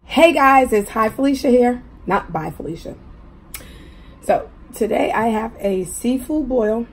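A middle-aged woman with a hoarse voice, chatting casually to viewers as a mukbang host at a table. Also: on the high side, animated, a little slow. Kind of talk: casual eating vlog chat. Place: indoors at a table at home. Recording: clearly noisy room recording.

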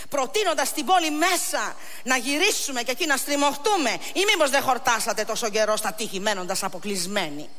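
An actress voicing a fierce, scornful Trojan warrior prince, declaiming angrily and defiantly in an epic stage recitation. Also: female voice, mid-range voice, sharp tone, voice pushed up. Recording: reverberant hall, faint background noise.

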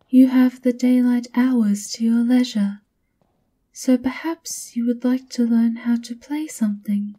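A woman playing a subservient, coolly formal maid of a vampire countess in a whispered ASMR roleplay, speaking softly and politely with measured, breathy words. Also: a little high, poor audio.

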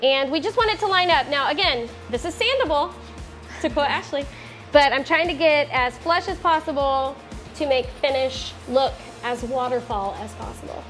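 A woman in her thirties hosting a casual woodworking tutorial in her home garage, chatty and quick to correct herself. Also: sits high, a bit bright, soft background music. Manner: chatty, self-correcting, laughs often. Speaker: DIY woodworking host.

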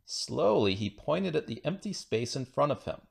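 A man reading a children's picture book aloud in an expressive storytelling manner. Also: mid-range voice, moderate pace, creaky. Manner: expressive storytelling with acted dialogue. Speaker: storybook reader.

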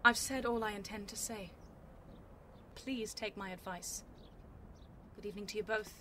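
A woman's voice: medium pitch, steady tone, noisy background, close to an everyday voice. Actress as cool, guarded lady. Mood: icy, warning. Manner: measured, polite, firm.

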